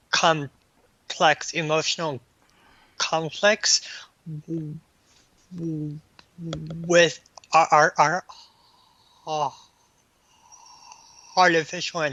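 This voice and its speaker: A man answering as an interview guest in a halting, stammering way, often repeating sounds and words. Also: fairly high pitch, sharp tone, dry recording, muffled recording. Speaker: guest author and anthology editor.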